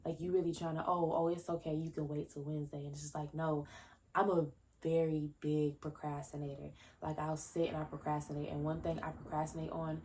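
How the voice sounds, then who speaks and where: Low-voiced young woman rambling on a podcast. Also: flat delivery, a little fast, darkish tone, slight background noise. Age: 20s. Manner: rambling, jumps between tangents; loose, chatty, many fillers and restarts. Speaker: podcast host. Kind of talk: casual solo podcast talk. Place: at home, indoors. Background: faint fireworks popping.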